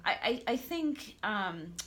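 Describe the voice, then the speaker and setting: A woman's voice: mid-range voice, sing-song, slow, fairly bright tone. A woman coach talks eagerly in an interview, with a mid-range voice. She often stumbles as she starts a sentence, then lands her point with conviction. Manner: earnest and enthusiastic, stumbles on starts. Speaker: life coach guest. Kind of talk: conversational interview.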